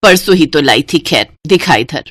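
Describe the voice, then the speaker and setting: Woman doing a bossy penny-pinching saas for a cartoon, lowish voice, very dry sound, stretches words for emphasis. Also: lively intonation, normal speed, no echo. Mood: bossy, stingy. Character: stingy bossy mother-in-law of a rich family.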